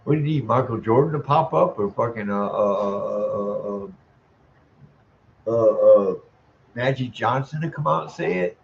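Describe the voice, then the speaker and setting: A man ranting in a profane monologue, ending sentences with "man," chuckling, and stalling on drawn-out "uh" sounds. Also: medium pitch, vocal fry, highs cut off, slight background noise. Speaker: vlogger.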